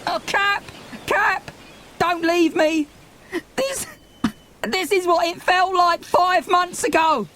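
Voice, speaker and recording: A man's voice: very high-pitched, slow, pauses often, pushed and bright, voice pushed up, exaggerated voice. A man voicing an anguished, pleading young boy in a dramatised children's audiobook. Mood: desperate pleading. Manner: breathless, pleading, childlike exclamations. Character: anguished, pleading young boy, Milo, abandoned by his dad.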